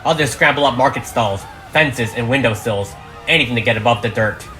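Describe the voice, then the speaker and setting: Narrator for audio drama script reading: bright, forceful, dramatic delivery, music underneath. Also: mid-range voice, fairly quick. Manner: dramatic, reads stage directions.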